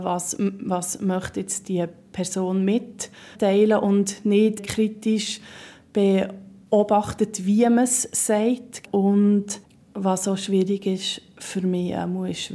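Slow Swiss woman who stutters. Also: medium pitch, mellow. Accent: Swiss German. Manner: stutters, with repeated syllables and word blocks. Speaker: interviewee. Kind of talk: personal interview.

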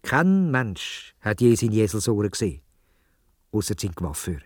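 A man's voice: lowish voice, very animated, moderate pace, no echo, clean studio sound. A man with a Swiss German accent telling a story for children in a dramatic way, addressing his listeners directly.

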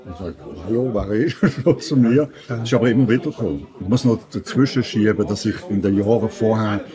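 A man's voice: lowish voice, fairly quick, a crowd in the background. An older man with a Swiss German accent, talking casually and telling personal stories. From Switzerland. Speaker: radio maker, interviewer.

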